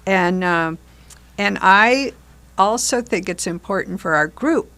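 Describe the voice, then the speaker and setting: A woman's voice: medium pitch, slow pace, fairly bright tone. An older woman talking conversationally as a member of a community theater group, explaining things and posing questions. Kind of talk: conversational discussion.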